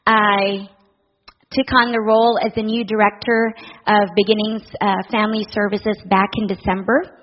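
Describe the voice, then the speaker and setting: A woman's voice: medium pitch, flat delivery, moderate pace, highs cut off. Earnest middle-aged woman from the Philippines. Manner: warm, earnest, with soft laughs and fillers. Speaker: director of a family services charity. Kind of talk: church talk to a congregation.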